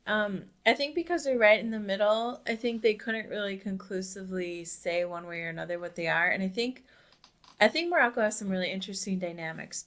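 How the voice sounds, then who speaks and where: A young woman with a slightly low voice co-hosts a conversational podcast. She speaks with emphasis and peppers her sentences with "like" as she talks things through in a casual way. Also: fairly bright tone. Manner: emphatic, says "like" very often. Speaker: podcast co-host. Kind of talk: casual discussion.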